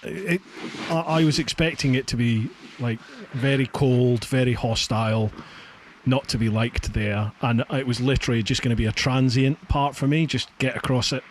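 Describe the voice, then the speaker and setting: A man's voice: medium pitch, fairly even intonation, normal speed. Man chatting over faint noise. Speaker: podcast host. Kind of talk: casual chat. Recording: faint background noise, online call.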